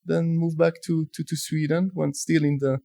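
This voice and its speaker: A man in his forties from France with a French accent, telling his career story as an interview guest, thoughtful and halting with frequent restarts. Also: a little high, flat delivery, vocal fry, mellow, dry recording, studio quality. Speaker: guest, telecom executive.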